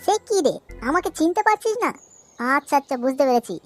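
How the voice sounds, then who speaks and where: Brash cartoon schemer, woman acting, high and rapid, coarse, argumentative comic delivery. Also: very animated, faint music behind. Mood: sly. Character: brash cartoon trickster and naive shopkeeper's child. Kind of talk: Bengali comedy cartoon voice acting.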